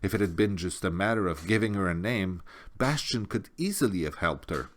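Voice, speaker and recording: A man's voice: low-pitched, animated, brisk, slight background noise. A man narrating a storybook as an audiobook reader.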